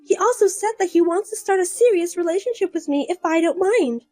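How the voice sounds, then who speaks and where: A woman's voice: very high voice, expressive, brisk, a little mellow, voice pushed up. A woman voicing a scheming younger sister who taunts and boasts in a sing-song way. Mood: smug.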